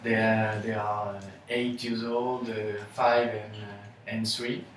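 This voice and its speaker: A man answering in a focus group, pausing often with fillers. He sits away from the mic in a very echoey room, with clear noise behind him. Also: fairly low pitch, level tone, far from the mic. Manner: slow, hesitant, frequent uh.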